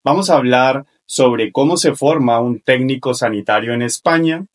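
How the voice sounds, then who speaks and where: A man's voice: medium pitch, normal speed. A male podcast host speaks in a mid-range voice. He presents in an orderly, courteous way, addressing both his guest and his audience. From Colombia. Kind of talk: podcast introduction and interview.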